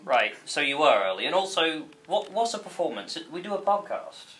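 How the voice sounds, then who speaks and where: A man's voice: a little high, big pitch swings, fairly quick, fairly bright tone. A man playing a breezy, unapologetic podcast host in his twenties at a comedy table read, teasing in manner. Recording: faint background noise.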